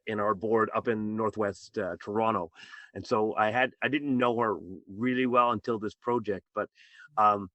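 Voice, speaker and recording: A man's voice: lowish voice, a bit bright, no echo. A man, a teacher, speaking reflectively and from the heart in a podcast interview. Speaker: teacher and co-author.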